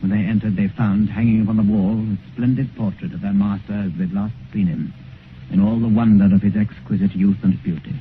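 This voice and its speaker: A man as the grave storyteller of a Gothic tale, narrating solemnly and deliberately in 1950s radio style. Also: fairly low pitch, steady tone, close to an everyday voice. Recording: noisy, muffled 1950s radio recording.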